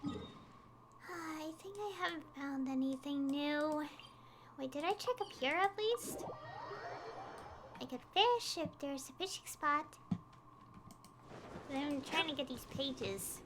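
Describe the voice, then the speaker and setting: Very high-pitched, animated woman streamer with background noise. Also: slow pace, long pauses. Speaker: video game livestreamer. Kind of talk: casual rambling chat while gaming.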